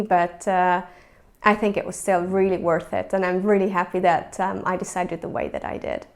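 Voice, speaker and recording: A young woman from Hungary talks about her studies in an easygoing, reflective way. She often pauses on "um" and restarts a phrase. Her voice sits at an ordinary middle pitch. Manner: thoughtful, with many ums and restarts. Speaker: former university student. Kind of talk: casual spoken account to camera.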